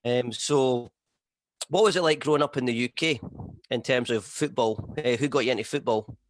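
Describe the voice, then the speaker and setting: Scottish podcast host, dry close sound. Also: male voice, mid-range voice, normal speed, no echo. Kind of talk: scripted intro and casual interview questions.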